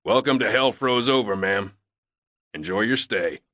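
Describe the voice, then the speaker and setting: Glum gruff loadmaster guy, short clipped lines, low voice, narrator putting him on. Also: measured pace, bright, forceful tone, no room sound, clean studio sound. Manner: terse, laconic.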